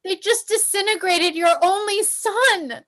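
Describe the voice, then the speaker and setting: A woman's voice: extremely high pitch, a little slow, a bit bright. A woman playing an alarmed member of the household who exclaims in disbelief. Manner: exclaiming, incredulous.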